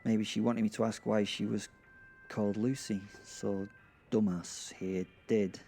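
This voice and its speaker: A man hosting and narrating an audio drama podcast in an informal, halting, self-deprecating way. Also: on the low side, fairly even intonation, creaky, mellow, soft background music. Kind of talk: first-person audio drama storytelling and informal address.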